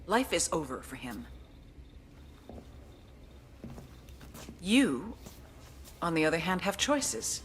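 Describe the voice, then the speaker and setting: A woman's voice: lowish voice, sing-song, unhurried, a bit bright, slight background noise. A woman playing a cool, calculating intelligence handler with an American accent, giving video game briefings in a dry, commanding way. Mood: cold intimidation. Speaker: briefing officer. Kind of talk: cinematic game briefing narration. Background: music.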